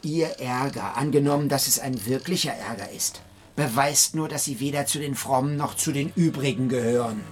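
Male actor as needy odd man, halting, confessional, submissive yet sly, radio drama, faint music. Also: mid-range voice, natural voice. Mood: defiant, evasive. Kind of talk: German radio play acting.

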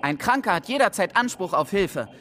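A man's voice: sits high, sing-song, brisk, sharp tone, full character voice. A stubborn drunken male patient in a radio drama. He is affronted and argumentative, pressing his demands. His intonation swings widely and animatedly. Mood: indignant, stubborn. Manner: argumentative, insistent. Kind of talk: German radio drama acting.

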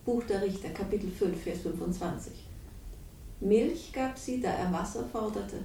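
A woman's voice: normal speed, creaky, mellow, a little hiss or hum, natural voice. An actress playing a cold, manipulative woman in a radio crime drama, speaking with smug irony and cool amusement. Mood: solemn, reciting. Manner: smug, ironic, coolly amused. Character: cold, manipulative, mocking woman confessing her schemes. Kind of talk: 1960s German radio crime drama acting. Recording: roomy, distant, faintly rumbling radio recording.

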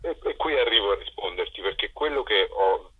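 Male interview guest: low, raspy, hard-edged voice, halting explanatory delivery. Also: lively intonation, narrow, tinny audio. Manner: halting, self-correcting, explanatory. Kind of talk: conversational interview answers.

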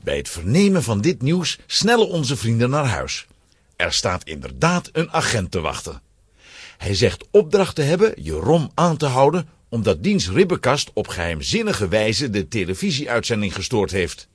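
A man's voice: medium pitch, huge pitch swings, normal speed, slightly rough, no room sound, studio quality. A man narrating a children's audio drama from a script, as a storyteller.

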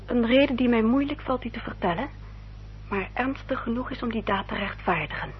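A woman acting an earnest, dutiful young upper-class lady in a radio drama, speaking formally and with careful, measured diction. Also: fairly high pitch, close to an everyday voice. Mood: solemn, composed. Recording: dull, noisy old radio recording with hum.

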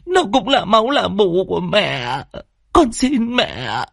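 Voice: medium pitch, sing-song, slow pace, gravelly, fairly bright tone, no room sound, studio quality, voice pushed up, heavily acted. A storyteller voicing a meek, frightened young daughter, stammering and begging tearfully. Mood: tearful pleading. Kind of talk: dramatic audio storytelling.

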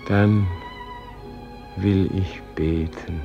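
An actor playing the humble, self-doubting soul of a martyred leader in a solemn radio play, speaking in a reverent, halting, prayerful way. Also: male voice, deep voice, steady tone, creaky, muffled recording, faint music behind, exaggerated voice. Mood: awed, pleading. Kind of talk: solemn classic German radio play acting.

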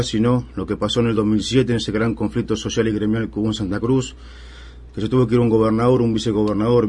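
Argentine union leader, 30s, mid-pitched, rambling interview answers. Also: male voice, darkish tone, slightly rough. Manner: rambling, filler-heavy storytelling. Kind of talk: radio interview.

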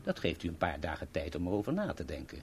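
An actor playing an effusive, wheedling agent in a theatrical, florid manner in a 1970s Dutch radio play. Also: male voice, sits low, wildly swooping pitch, fast, a little hiss or hum. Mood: scheming, sly. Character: effusive, wheedling male agent offering deals and friendship.

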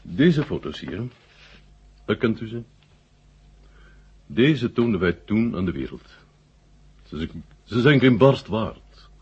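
Courteous sly Soviet marshal, low bass, very rough husky voice, deliberate, old radio play. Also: male voice, sing-song, darkish tone, heavily acted. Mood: proud, revealing. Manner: hesitant, deliberate phrasing. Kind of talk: Dutch radio drama acting. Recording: muffled old radio recording.

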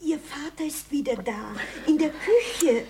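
An actress playing a nervous, pious old housekeeper, fussing and muttering in a radio play. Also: female voice, high-pitched, animated, unhurried, background noise. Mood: hushed, anxious. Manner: fussy, exclaiming, muttering to herself. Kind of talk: German-language radio play acting.

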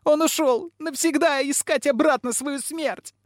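A man's voice: high-pitched, lively intonation, moderate pace, no room sound, clean studio sound, voice pushed up. A man voicing a defiant young girl in an audiobook story, shrieking and tearful with indignation. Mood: hysterical anguish. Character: furious, defiant, weeping young girl named Flame.

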